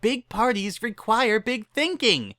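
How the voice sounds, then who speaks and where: A narrator voicing an excitable, cheerful young female party pony in a bubbly, insistent manner. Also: medium pitch, slow pace, no room sound, studio quality, pitched above the performer's own voice, exaggerated voice. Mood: eager, bubbly.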